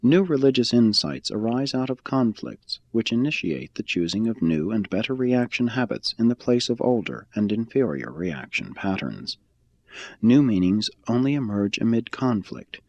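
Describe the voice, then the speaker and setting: Man reading with widely varied, expressive pitch. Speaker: reader of a religious text. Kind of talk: scripted spiritual narration, audiobook reading.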